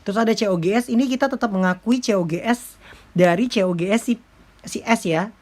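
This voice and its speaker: A woman's voice: mid-range voice, brisk, darkish tone. A woman giving an informal lecture as a teacher, explaining step by step with frequent check-in tags. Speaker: accounting lecturer.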